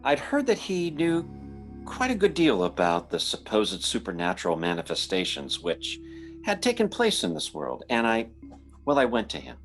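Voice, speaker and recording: An actor playing a grave, concerned man who narrates and talks with dramatic pauses in old-time radio style. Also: medium pitch, wildly swooping pitch, faint music behind. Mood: grave, reminiscing. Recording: radio drama with musical underscore.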